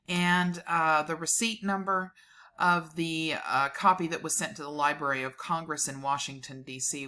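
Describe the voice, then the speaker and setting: Female host, deep register, crisp bright tone, dramatic document reading broken by informal commentary.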